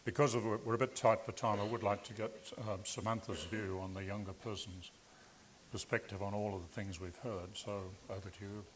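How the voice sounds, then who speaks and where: A man moderating a conference panel, brisk and polite as he keeps things on time. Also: lowish voice, steady tone, a little hiss or hum. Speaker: panel facilitator.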